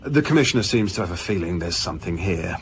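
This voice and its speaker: Low-voiced, droll male police boss. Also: lively intonation, fast talker. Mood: droll, casual. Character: dry, genial male senior police boss handing out a case. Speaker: actor in an audio drama. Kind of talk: naturalistic radio drama dialogue.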